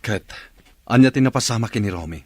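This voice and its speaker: A man plays a concerned father in an Ilocano radio drama. He questions in a mid-to-low voice with lively, swinging intonation. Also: fairly quick, no echo, natural voice. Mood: concerned, puzzled. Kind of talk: Filipino radio drama acting.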